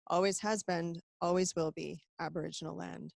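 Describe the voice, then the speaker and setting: A middle-aged American woman speaks from an office to a webinar audience of supporters. Her voice sits on the low side and is recorded dry and close. She talks in a conversational, earnest way, with fillers and restarts. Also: fairly even intonation, moderate pace, vocal fry, no room sound. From United States. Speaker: executive director giving a supporter briefing.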